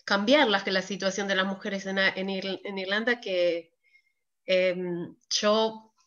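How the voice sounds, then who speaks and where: Lady chiming in, halting, lots of ehs, medium voice, dull lo-fi video call sound. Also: mid-range voice, level tone, fairly bright tone. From Argentina. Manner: hesitant, with pauses and fillers. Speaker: workshop participant. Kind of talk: casual discussion.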